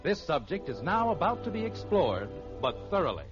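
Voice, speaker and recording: An American man delivers scripted 1950s radio announcing in a fairly high, animated voice. The old recording is dull, with music playing prominently behind him. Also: moderate pace.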